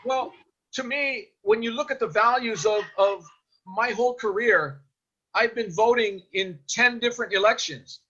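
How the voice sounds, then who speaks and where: A man's voice: extremely high pitch, unhurried, bright, forceful tone, husky. An American man in his fifties giving earnest impromptu remarks as a panelist in an online meeting, often repeating words as he goes. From United States. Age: 50s. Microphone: video call. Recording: dull, lo-fi video call audio.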